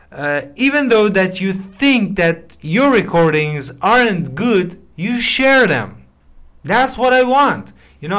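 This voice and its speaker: Encouraging young man, smooth voice. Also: fairly high pitch, a bit bright. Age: 20s. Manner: encouraging, hesitant with many fillers and restarts. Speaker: English learner giving feedback. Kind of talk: casual voice message.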